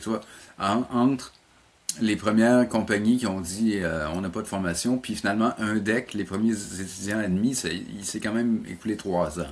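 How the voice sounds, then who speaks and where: A middle-aged man with a Quebec French accent speaks in a low register. He talks as an interview guest, in a conversational, halting way, often doubling back on words as he explains. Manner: halting, repeats words while recounting. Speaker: college animation teacher, interview guest.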